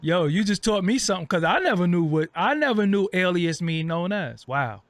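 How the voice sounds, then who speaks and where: A man's voice: high voice, animated, normal speed, no room sound. A man hosting an online interview, chatting casually with lots of fillers and street slang. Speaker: host.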